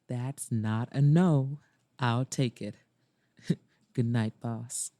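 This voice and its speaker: A woman voicing a flirtatious, softly sincere man in an audiobook romance, slow and lowered. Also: no echo, clean studio sound, heavily acted. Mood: flirty, sincere.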